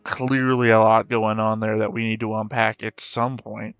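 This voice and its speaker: A man's voice: on the low side, level tone, moderate pace, fairly bright tone, clear, resonant tone, dry recording, faint music behind. A man giving casual, blunt reaction commentary as the host of a reaction video.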